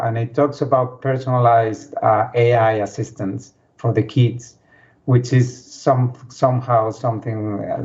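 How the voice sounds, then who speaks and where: A man's voice: medium pitch, darkish tone. A man moderating a panel casually, with informal, rambling and hesitant phrasing. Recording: dull lo-fi audio.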